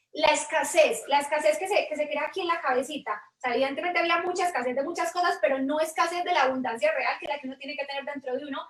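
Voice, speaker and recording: A woman's voice: sits high, roomy sound, distant mic, poor audio. A woman from Medellín with a Colombian Spanish accent, telling her life story as an interview guest in an earnest, thoughtful way with frequent restarts. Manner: earnest, thoughtful, with many restarts and tag questions. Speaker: guest investor.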